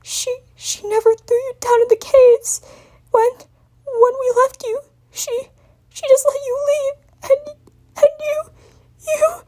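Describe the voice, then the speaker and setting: A young male dragon played by a woman sobs in guilt and terror, his voice pitched extremely high. The words come strained and broken, stammered through gasps in a dramatic story reading. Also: flat delivery, slow, long pauses, dark tone. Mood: sobbing confession. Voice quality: strained, creaking, tearful. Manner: stammering, gasping, broken phrases. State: crying.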